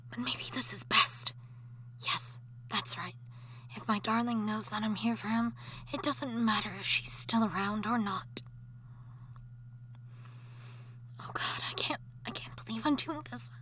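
A woman's voice: a little hiss or hum, natural voice. A woman playing a possessive undead wife. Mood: seething, nervous. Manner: intimate whispering, turning to shrill shouting. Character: obsessive jealous undead wife, possessive and unstable. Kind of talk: audio roleplay drama.

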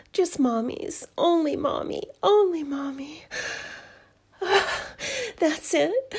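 A woman playing a doting, possessive middle-aged mother in an intimate audio roleplay, speaking in a soft, whispery murmur. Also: high-pitched, heavily acted. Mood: seductive. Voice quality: breathy, whispery.

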